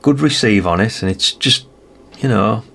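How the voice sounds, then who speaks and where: Low-pitched, creaky British man, chatting casually. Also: animated, fairly bright tone. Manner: musing, unhurried, frequent tag questions and self-corrections. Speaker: radio hobbyist presenting a video. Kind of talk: casual rambling vlog chat.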